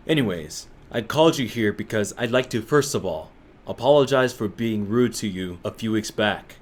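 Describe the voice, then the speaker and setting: A man's voice: on the low side, lively intonation, normal speed. A man playing a stern, formal clan head in a fan-made anime audio drama. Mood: apologetic.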